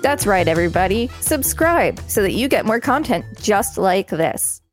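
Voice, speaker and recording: A woman's voice: medium pitch, big pitch swings, moderate pace, over music. A woman podcast co-host reading an encyclopedia article aloud and adding commentary.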